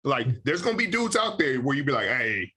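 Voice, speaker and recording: A man in his thirties hosting a podcast interview, talking in a relaxed, slangy way with lots of "you know.". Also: mid-range voice, a little fast, husky. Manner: laid-back, slangy, frequent "you know". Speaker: podcast host. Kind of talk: casual interview chat.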